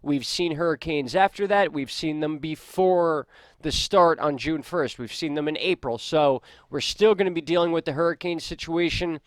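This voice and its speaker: A man's voice: a little high, normal speed, no echo. A man with stuffy, congested sinuses hosting a casual weather and news vlog, talking loosely to viewers with plenty of fillers. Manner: loose, chatty, many fillers, addresses viewers as guys. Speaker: weather and news channel host.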